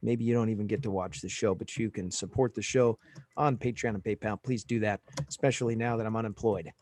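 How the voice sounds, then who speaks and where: A man's voice: on the low side, steady tone, brisk, a little mellow, no echo. Dry-sounding male podcast host. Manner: friendly, plugs the show. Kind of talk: live-stream show hosting.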